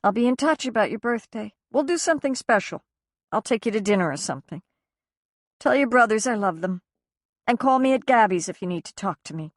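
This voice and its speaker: A woman's voice: fairly high pitch, animated, brisk, no room sound, clean studio sound, pitched above the performer's own voice. A woman playing a tired, resolute mother. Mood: tender. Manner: soft, firm, tired. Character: worn-out mother, gentle but resolved.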